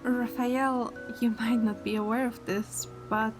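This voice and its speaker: A woman's voice: a little high, level tone, measured pace, natural voice. A woman playing a playful, teasing young heroine who narrates her story in an audio drama, in the first person. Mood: fond, teasing. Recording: music under the voice. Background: background music.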